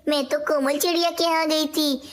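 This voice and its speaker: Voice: high-pitched, fairly even intonation, moderate pace, natural voice. A performer voicing a talking bird in a children's cartoon tale, speaking in simple storybook dialogue. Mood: pleading.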